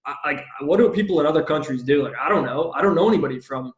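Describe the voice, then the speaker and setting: A man's voice: fairly high pitch, lively intonation, fast, roomy sound, muffled recording, a crowd in the background. A man hosting a casual podcast interview, enthusiastic and slangy, calling his guest "dude" and "bro". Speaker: podcast host.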